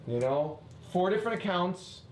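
A man leading a casual instructive talk, asking questions and tagging "right?" onto his points. His voice sits slightly high and rings in an echoey basement, with faint background ambience. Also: expressive, normal speed, slight background noise. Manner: questioning, prompting, frequent "right?". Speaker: teacher leading a lesson. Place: basement room.

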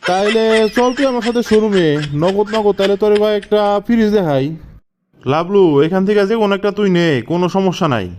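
A man's voice: sits high, fast, darkish tone, a little hiss or hum, natural voice. A man voicing an easygoing, slick village shopkeeper in a comic cartoon, with chatty colloquial patter and a rural Bangladeshi accent. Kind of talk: comic Bengali cartoon dialogue.

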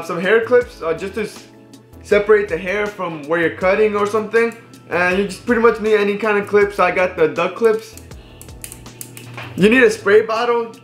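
A man's voice: high-pitched, echoey room. A young man in his twenties, a barber, casually showing his tools in a living room to a fixed camera, with many fillers and restarts. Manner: relaxed, filler-heavy, restarts often. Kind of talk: casual tutorial. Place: indoors, living room with TV and couch.

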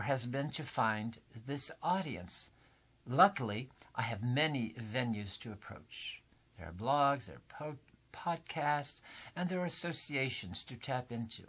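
A man in his seventies from New England presents a scripted writing lesson as a coach, in deliberate, formal phrasing. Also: medium pitch, fairly bright tone. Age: 70s. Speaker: writing coach and teacher, host. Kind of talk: scripted instructional masterclass presentation.

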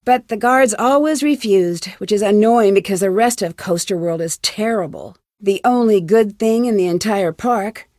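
A woman's voice: mid-range voice, moderate pace, hardly any pauses, darkish tone, dry recording, clean studio sound, lightly acted. A woman playing a young American detective in a video game, inquisitive, determined and guarded, speaking in a direct, conversational way. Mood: casual, reflective. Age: young adult. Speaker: video game lead character. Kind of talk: scripted game dialogue.

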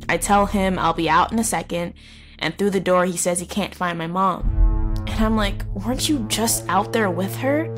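A woman playing a frightened teenage girl, narrating a horror audio drama in a halting, confessional, conversational way. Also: on the low side. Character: terrified teenage girl recounting a horror she fled.

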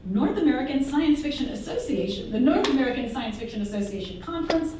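A woman's voice: high-pitched, lively intonation, fast talker, noisy background. A woman moderating a symposium panel in a university classroom, introducing the next speaker with light jokes. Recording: echoey classroom from far off.

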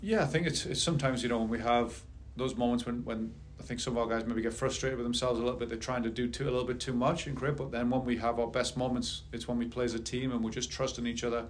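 A middle-aged man speaking as a head soccer coach at a post-game press conference, measured and steady. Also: medium pitch, slight background noise. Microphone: table mic on a stand.

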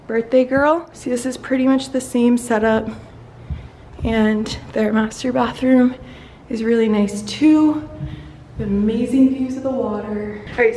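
A woman's voice: on the high side, a little mellow, slight background noise, low-quality recording. Chatty young woman vlogging on her phone. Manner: enthusiastic, chatty, frequent "like" fillers. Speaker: travel vlogger. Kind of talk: casual house tour vlog. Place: holiday villa, indoors and on open terraces. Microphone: phone held at arm's length.

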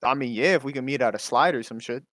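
A young man from the DMV area on an online call, trolling with a deadpan, filler-heavy delivery. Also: mid-range voice, fast talker, no room sound, clean studio sound. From DMV area, USA. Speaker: caller on a stream. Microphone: online call mic.

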